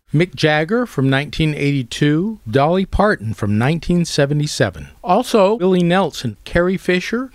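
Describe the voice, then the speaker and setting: Man, American accent, highish voice, scripted hosting, dry sound. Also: dry recording. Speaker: journalist and series host. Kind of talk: scripted narration, programme sign-off.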